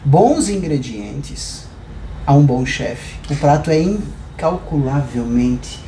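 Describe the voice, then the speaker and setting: Higher-voiced southern Brazilian man, mellow. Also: lively intonation, takes it slowly. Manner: explanatory, uses examples and rhetorical questions. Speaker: podcast guest, hair professional. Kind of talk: conversational podcast discussion. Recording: roomy echo, clear background noise.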